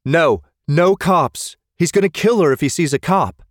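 A man's voice: medium pitch, lively intonation, unhurried, no room sound, studio quality, voice pushed up, natural voice. A man voicing a desperate, strained young man in an audiobook. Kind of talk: audiobook character dialogue.

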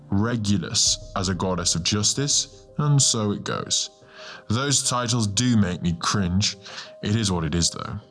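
A man voicing a serene, ancient male star guardian, a measured and lightly amused mentor in an audiobook. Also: mid-range voice, fairly bright tone, natural voice. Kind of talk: audiobook character dialogue. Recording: music bed under the voice.

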